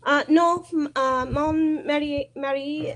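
A woman's voice: high voice, steady tone, slow pace, a bit bright. A woman with an American accent, a native English speaker and beginner in French, hesitantly and shyly searching for each word. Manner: hesitant, nervous, shy, searching for words. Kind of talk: halting conversation practice.

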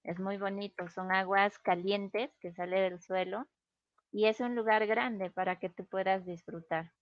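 Peruvian woman for a relaxed language-exchange chat: unhurried, patient, dry and slightly dull call audio. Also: medium pitch, dry recording. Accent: Peruvian Spanish. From Peru. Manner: slow, patient, explains with pauses. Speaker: conversation partner. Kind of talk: casual conversation.

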